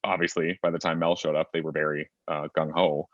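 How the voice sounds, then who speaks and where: Low-voiced guy moderating a fan panel on a call, lots of ums, kinda muffled. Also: flat delivery, fairly quick, fairly bright tone, dry recording. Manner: chatty, many fillers, thinks aloud at length. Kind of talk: casual fan panel discussion.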